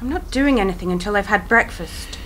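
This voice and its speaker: A woman's voice: medium pitch, lively intonation, a little fast, a bit bright, a little hiss or hum, natural voice, poor audio. An actress in a radio play, playing a defiant, haughty young woman with a snippy, pointed way of talking.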